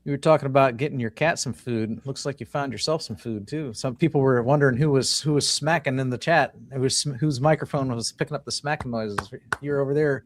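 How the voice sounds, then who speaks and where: A man's voice: medium pitch, fairly quick, few pauses. A man hosting a casual live-stream chat, reading viewer comments aloud and chatting in an easygoing way. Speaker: host. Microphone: home video-call setup.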